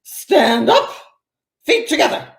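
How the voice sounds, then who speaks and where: A woman's voice: high-pitched, very animated, slow pace, gravelly, echoey room, exaggerated voice. A woman acting a snappish, nervous middle-aged personnel examiner in a 1940s-style radio play, brusque and officious. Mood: curt, irritated. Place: live radio play stage.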